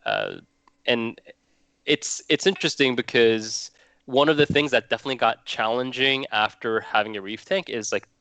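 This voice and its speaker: A man's voice: mid-range voice, sing-song, normal speed, a bit bright, smooth, no room sound. A young man from Norway chatting casually as a guest, rambling and restarting his phrases. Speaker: guest hobbyist. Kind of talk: casual chat.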